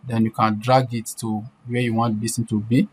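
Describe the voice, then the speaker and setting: A man's voice: mid-range voice, little pitch movement, normal speed, a little mellow. A man presenting an informal step-by-step screen-share tutorial, repeating himself and often checking in with short questions. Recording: clear background noise.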